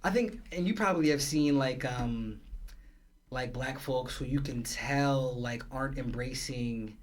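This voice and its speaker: A man guesting on a casual podcast, telling stories in a lively, slang-heavy way. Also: medium pitch, a little slow. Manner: animated storyteller, heavy slang.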